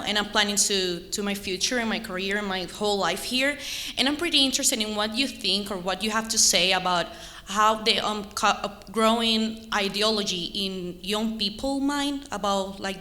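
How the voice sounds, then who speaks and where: A woman's voice: medium pitch, sharp tone, big-room sound. A woman from Cuba with a Cuban Spanish accent, politely and hesitantly asking a question from the audience.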